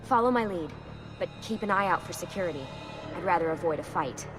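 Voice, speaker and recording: A woman's voice: fairly low pitch, animated, fairly quick, lightly acted. Woman playing a terse American escape leader, music behind. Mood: guarded, hurried. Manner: terse, commanding. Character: tense, capable young woman leading an escape. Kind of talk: naturalistic video game dialogue.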